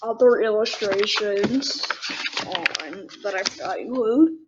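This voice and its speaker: A woman's voice: medium pitch, measured pace. A girl of about ten hosting a storybook read-aloud, breaking off for candid, casual asides. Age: child, about 10. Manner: candid, self-interrupting commentary. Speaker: young host reading children's books. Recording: dull lo-fi sound with faint noise.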